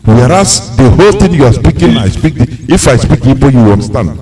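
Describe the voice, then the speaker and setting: A man's voice: medium pitch, sing-song, brisk, warm tone, distant mic, poor audio. A Nigerian man hosting a call-in show, pressing his questions and restarting them again and again. His voice sits in a middle range and sounds a little set back from the mic. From Imo State, Nigeria. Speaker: radio host. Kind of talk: call-in radio interview.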